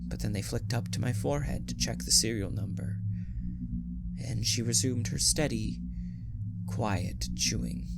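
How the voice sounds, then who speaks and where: A man's voice: medium pitch, a little slow, gravelly. A man playing a nervous condemned poet on the run in an audio drama, sometimes hesitant and stammering. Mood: tense dread. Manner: hesitant, stammering when speaking to others. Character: nervous condemned poet fleeing prison, narrating his dread. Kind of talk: first-person audio drama narration and dialogue. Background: music.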